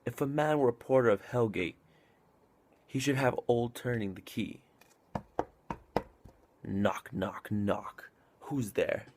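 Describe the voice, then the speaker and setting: A grumbling old-world gatekeeper, acted by a man, low and creaky in voice. He is sardonic and exclamatory, mockingly welcoming sinners in a Shakespearean stage monologue. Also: darkish tone.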